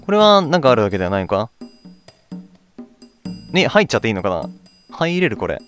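A man narrates his own gameplay in a casual, self-questioning way. His mid-pitched voice moves up and down freely, with game music playing behind him. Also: sing-song, fairly quick, fairly bright tone. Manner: murmurs to himself in questions while playing. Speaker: let's play host. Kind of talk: casual gameplay commentary, reading out dialogue. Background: video game music.

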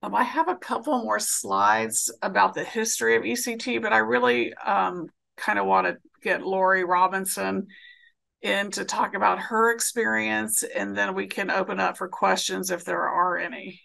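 A woman's voice: mid-range voice, normal speed, gravelly, sharp tone. A woman psychiatrist giving a slide presentation over a video call, explaining a treatment in plain clinical terms with some fillers. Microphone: video call webcam.

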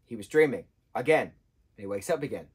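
A man in his thirties, husky-voiced, giving an animated casual book review from a sofa indoors. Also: mid-range voice, fast talker. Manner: animated, gestures a lot, acts out quotes in changing voices. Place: indoor room, sofa with patterned throw.

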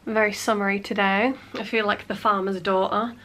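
A woman's voice: mid-range voice, flat delivery, normal speed, pushed and bright, poor audio. A woman, chatting casually as a vlogger at home, her voice congested from a cold, full of "like" fillers. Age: late 20s. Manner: chatty, frequent "like" fillers, playful asides. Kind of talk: casual chat. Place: small cluttered home room.

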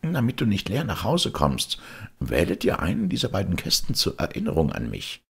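A man's voice: sits low, wildly swooping pitch, moderate pace, creaky, slightly rough, voice pushed up, exaggerated voice. A man voicing a grateful, courteous father sparrow who plays the generous host in a storybook tale. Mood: grateful, gracious. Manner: courteous, formal.

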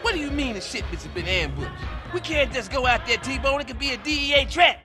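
An actor playing a boastful, fast-talking pimp in a video game, with a showy, slangy, melodramatic manner. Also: male voice, very high voice, animated, over music. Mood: placating, cocky. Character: flamboyant, boastful, fast-talking male pimp and club boss. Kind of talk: video game cutscene acting.

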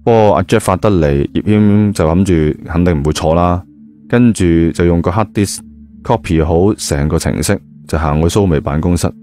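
A low-voiced man narrates an audio novel in colloquial Hong Kong Cantonese. His tone is dark and mellow, with faint music behind him. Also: moderate pace. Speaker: storyteller.

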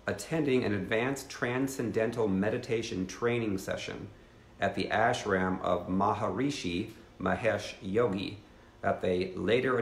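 A man's voice: lowish voice, gravelly, reverberant, a little hiss or hum. Earnest fifties man reading a teaching. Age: 50s. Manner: earnest, reads steadily with asides to viewers. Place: living room at home.

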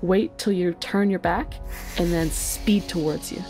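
Female player, slightly low voice, casual game narration, thinking aloud, music underneath. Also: measured pace. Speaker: guest player.

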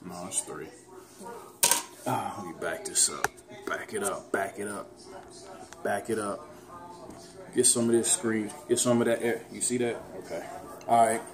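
A man's voice: lowish voice, fairly even intonation, unhurried, long pauses, a crowd in the background. A man in his thirties casually showing how to cook dinner, teasing and talking straight to viewers. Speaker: fitness vlogger cooking with his daughter. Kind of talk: casual home cooking demo. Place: home kitchen, indoors. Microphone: camera mic on the counter. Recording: echoey kitchen with loud background noise.

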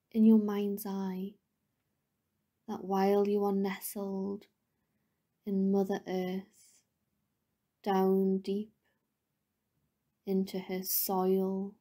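A woman leading a guided meditation in a soothing, gentle, unhurried way, softly spoken with breathy phrase endings. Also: mid-range voice.

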